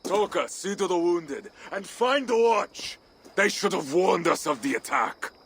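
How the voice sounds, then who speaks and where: Grim, vengeful Viking warlord. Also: male voice, sits high, very animated, measured pace, fairly bright tone, slight background noise, heavily acted. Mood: angry, commanding. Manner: solemn, formal, archaic phrasing. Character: vengeful male Viking warlord, proud, brooding, bloodthirsty. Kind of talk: dramatic video game acting.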